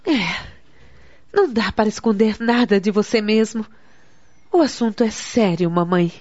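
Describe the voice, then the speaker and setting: A woman's voice: medium pitch, a little slow, a little mellow, dry recording, lightly acted. A woman playing a composed but worried adult daughter in a radio soap opera. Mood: grave. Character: worried adult daughter, composed, calming her anxious mother. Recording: dull, dry lo-fi radio recording.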